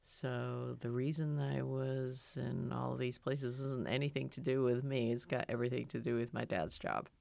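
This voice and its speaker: A woman's voice: rumbling low, steady tone, normal speed, vocal fry, hardly any pauses, warm tone, no echo. A middle-aged woman from Japan, a foundation president, talking in a thoughtful, conversational way with plenty of fillers as an interview guest. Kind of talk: casual interview talk.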